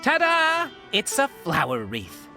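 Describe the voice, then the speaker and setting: A woman's voice: high-pitched, huge pitch swings, slow pace, bright, forceful tone, faint music behind, heavily acted. A woman playing a chatty, upbeat, teasing townswoman in an anime game dub. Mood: cheerful.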